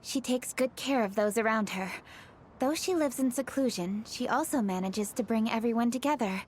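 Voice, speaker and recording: A composed young noblewoman from a fantasy game, played by an actress. Her voice is fairly high, poised and earnest, with a faint rumble behind it. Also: moderate pace, darkish tone, close to an everyday voice. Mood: thoughtful, composed. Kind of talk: video game voice acting.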